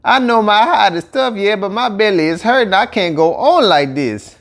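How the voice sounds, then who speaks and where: Man doing a high, whiny, hollering Cajun gator. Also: animated, normal speed. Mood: pained complaint. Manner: hollering and squealing. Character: tough-hearted complaining male alligator, excitable and whiny.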